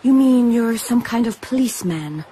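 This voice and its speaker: A woman's voice: a little high, lively intonation, normal speed, mellow, a little hiss or hum, lightly acted. A woman playing a feisty, curious young island woman in a radio drama, direct and outspoken. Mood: demanding.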